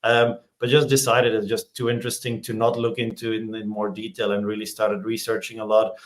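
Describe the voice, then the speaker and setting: A man, a podcast guest talking in a relaxed, rambling way as he searches for words. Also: lowish voice, fairly quick. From Belgium. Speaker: podcast guest, startup executive. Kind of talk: casual interview chat.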